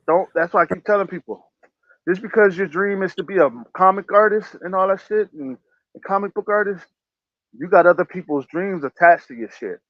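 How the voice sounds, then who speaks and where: Emphatic male host, preachy and repetitive, fairly high voice, lo-fi dry recording. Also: fairly quick, no echo. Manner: preachy, emphatic, repeats phrases. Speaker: podcast host. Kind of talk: motivational casual talk. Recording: dull lo-fi sound.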